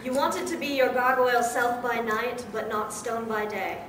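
A woman's voice: on the high side, normal speed, lightly acted. A woman playing a gleeful, mischievous trickster spirit who speaks in theatrical rhymes in a live radio play. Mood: incantatory, grand. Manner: theatrical rhyming incantations. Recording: echoey distant live hall recording with noise.

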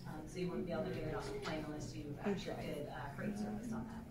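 A woman's voice: sits low, fast talker, a loud crowd. A woman droid builder speaking on a convention panel, explaining in detail with lots of fillers. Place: large convention hall panel room. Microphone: table mic recorded by a far camera in the audience. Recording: echoey hall from far off.

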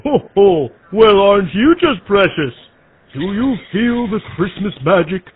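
Jolly old christmas gift-giver, high and slow, hearty ho-ho-ho, tinny phone line. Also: male voice, lively intonation, breathy edge, exaggerated voice. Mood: jolly, doting. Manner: drawn-out, hearty ho-ho-ho delivery. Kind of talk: pre-recorded prank call acting.

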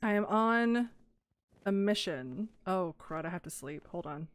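A young woman casually commentating her Minecraft let's play, excitable and gushing over cute animals, a little hoarse. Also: mid-range voice, unhurried. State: slightly hoarse. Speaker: gaming YouTuber.

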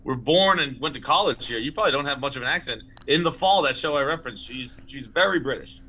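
A man's voice: mid-range voice, expressive, fast talker, pushed and bright, a little hiss or hum, low-quality recording. A man chatting casually as a podcast guest over a video call, exclaiming animatedly.

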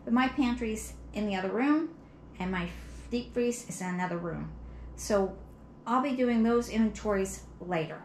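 A woman's voice: mid-range voice, animated, normal speed, darkish tone. A middle-aged woman explains things plainly, step by step, in a casual home vlog, recorded by a camera a few metres away in a kitchen. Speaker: home cleaning vlogger. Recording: roomy echo, clear background noise.

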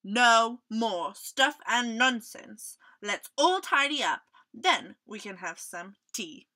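A woman's voice: a little high, lively intonation, pushed and bright, exaggerated voice. A woman voicing a small, plucky male mouse in a storybook, fussy and commanding but cheerful. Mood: brisk. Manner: brisk, commanding, declaiming.

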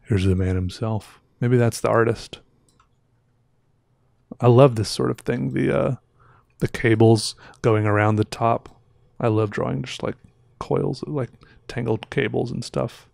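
Chatty man with a gravelly headset voice. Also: medium pitch, unhurried, long pauses, darkish tone, dry recording, studio quality. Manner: rambling, self-interrupting, chatty. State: sore throat. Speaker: artist reviewing viewer art. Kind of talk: casual livestream commentary. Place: small room indoors. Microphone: headset with boom mic.

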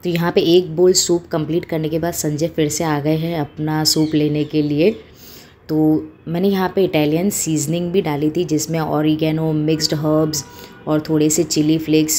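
A woman's voice: deep voice, flat delivery, normal speed, dark tone, slight background noise. A woman in her thirties, a home cooking host, explaining a recipe step by step in a small home kitchen, in an easy, homely way with fillers. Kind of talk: casual recipe demonstration.

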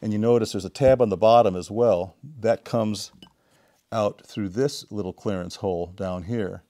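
A middle-aged man giving a casual, deliberate, step-by-step hands-on repair tutorial. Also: lowish voice, fairly even intonation, dark tone. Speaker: DIY repair demonstrator. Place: indoor home workshop.

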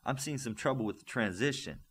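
Man reading as a proud team coach, animated. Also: mid-range voice, brisk, slightly rough. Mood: collegial. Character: proud, eager male superhero team coach.

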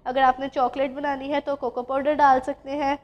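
High-voiced woman teaching a home recipe. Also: little pitch movement, brisk, lo-fi sound. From Pakistan. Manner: patient, instructive, addresses viewers directly. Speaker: cooking channel host. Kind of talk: step-by-step recipe narration.

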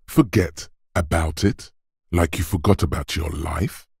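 Very deep, husky, macho game voice. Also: male voice, darkish tone, dry recording, clean studio sound, heavily acted. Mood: goading, forceful. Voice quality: gravelly, husky bass. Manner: deliberate, commanding, taunting. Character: gruff, macho inner voice of brute muscle, goading like a drill coach. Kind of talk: dramatic video game narration.

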